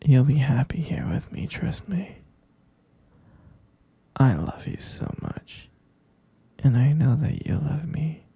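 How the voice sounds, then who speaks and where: A man playing a possessive, lovesick kidnapper in an intimate ASMR roleplay, coaxing softly in a breathy half-whisper and repeating himself. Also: mid-range voice, big pitch swings, slow, long pauses, dry recording, dull audio, exaggerated voice. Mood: soothing, obsessive. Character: obsessive lovesick male kidnapper, soothing and possessive yandere.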